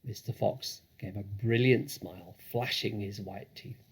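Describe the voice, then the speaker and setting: A man narrates a children's storybook with deliberate, dramatic phrasing. He reads slowly, in a husky voice with plenty of creak. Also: lowish voice. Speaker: story reader.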